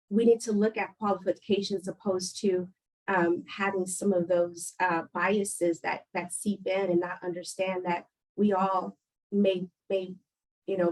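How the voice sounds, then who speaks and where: A woman moderating a panel discussion, explaining ideas in a measured way with frequent pauses and fillers. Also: fairly low pitch, a little mellow, rough recording.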